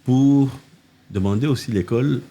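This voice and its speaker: An older man from Congo, a mayor telling animated anecdotes in a casual interview, with restarts and asides, recorded on a living-room podcast set. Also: medium pitch, normal speed. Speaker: mayor, political guest.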